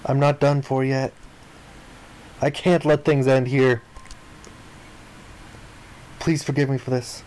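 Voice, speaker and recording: A man's voice: medium pitch, level tone, slow pace, pauses often, darkish tone, low-quality recording. Man dramatically reading story text aloud. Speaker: let's player reading a visual novel aloud. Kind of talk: dramatic read-aloud narration of game text.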